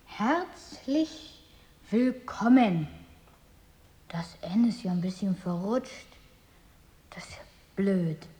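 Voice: medium pitch, lively intonation, takes it slowly, pauses often, mellow. A young performer playing an eager, protective schoolboy in a radio play, earnest and insistent. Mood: fretful. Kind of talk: German radio play acting.

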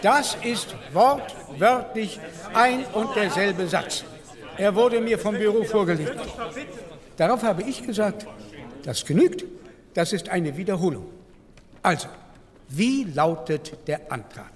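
An orderly male assembly president in a radio play, high in pitch. He chairs with measured, procedural formality. The voice carries through a big, echoing hall. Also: animated, crowd noise. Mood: defensive, firm. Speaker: actor in a documentary radio play. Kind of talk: dramatised parliamentary debate. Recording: reverberant large hall.

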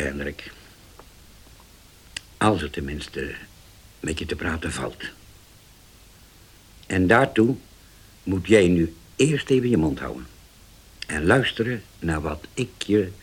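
An actor in a Dutch radio play as a scheming older uncle, husky, halting and condescending. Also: male voice, deep voice, expressive, full character voice. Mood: solemn, menacing. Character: scheming older uncle, pious-sounding, domineering and deliberate.

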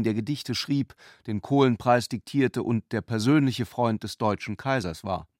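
A man narrates a literary radio drama in a measured, scripted delivery. His voice sits at a medium pitch, and the recording is very dry with no room around it. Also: dry recording.

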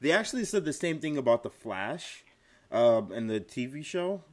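A man's voice: medium pitch, sing-song, moderate pace. A man giving emphatic opinions in a casual chat about TV shows.

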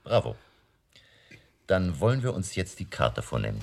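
Grave professor, radio drama, deep voice, gravelly fry, commanding resolve. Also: male voice, sing-song, normal speed, a little hiss or hum, full character voice. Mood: resolute. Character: grave, resolute male professor leading a vampire hunt. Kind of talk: German radio play acting.

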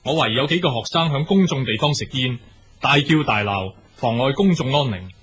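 A man playing a stern schoolteacher in a radio drama, formal and measured, with a Hong Kong Cantonese accent. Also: medium pitch, animated, fairly bright tone, slightly rough.

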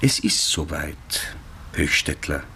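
A man narrating a radio play, with measured, dramatic literary storytelling. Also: extremely low pitch, animated, background noise, full character voice.

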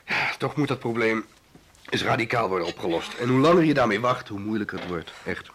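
A man's voice: fairly low pitch, expressive, a little fast, fairly bright tone. An actor in a naturalistic radio play, playing an opinionated, wryly playful man chatting at home. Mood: insistent.

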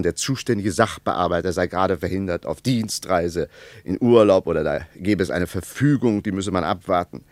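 An actor playing a troubled, reflective West German traveller in a radio play, with an emphatic, rhetorical way of talking. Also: male voice, fairly low pitch, darkish tone, no echo.

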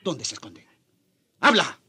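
An actor in a radio drama playing a stern, pious Spanish chaplain with a husky voice and a preachy, urging manner. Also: male voice, a little slow, sharp tone. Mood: demanding. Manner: preachy, urgent.

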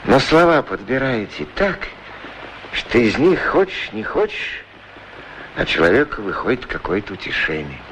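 A man's voice: lowish voice, very animated, moderate pace, a bit bright. An actor playing a lonely middle-aged shoeshine man in a radio play, grumbling yet wistful and proudly polite. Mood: wistful, worried. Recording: noisy 1960s radio recording.

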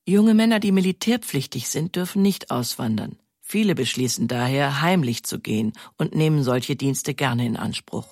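A man's voice: mid-range voice, animated, normal speed, few pauses, darkish tone, no room sound. Dry, close male documentary narrator. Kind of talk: scripted narration.

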